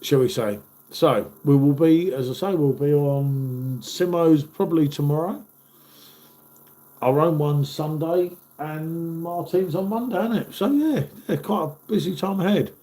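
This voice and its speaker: A man's voice: a little high, measured pace, dark tone. Chatty man with a stuffy cold. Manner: chatty, matey, calls viewers "mate". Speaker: live-stream host. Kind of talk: casual live-stream chat, reading viewer comments.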